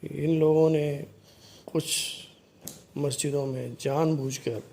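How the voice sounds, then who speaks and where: A man giving explanatory commentary to viewers in short, halting phrases, as the narrator of a video. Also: fairly high pitch, steady tone, vocal fry, warm tone.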